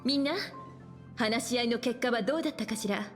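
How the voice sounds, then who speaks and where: A woman's voice: fairly high pitch, rapid-fire, soft background music, close to an everyday voice. A woman voicing a poised, elegant adult crew leader in an anime game, gracious and refined. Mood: poised, pleased. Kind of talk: Japanese anime game voice acting.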